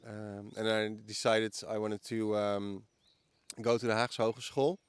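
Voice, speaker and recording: A man's voice: lowish voice, level tone, unhurried, clean tone, dry recording. A man in his early forties with a Dutch accent, answering casually as a guest in an interview outdoors. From the Netherlands. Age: early 40s. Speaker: podcast guest. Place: outdoors in a park.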